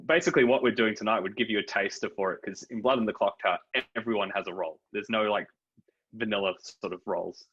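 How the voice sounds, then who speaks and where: Husky man, low, calm rules explainer, muffled call audio. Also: fast. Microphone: video call.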